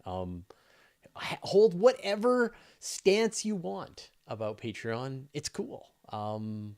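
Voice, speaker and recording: A man's voice: fairly high pitch, very animated, slow pace, a little mellow. A man hosting a casual live question and answer session, talking informally and thinking aloud in broken phrases. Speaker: host of a space news channel.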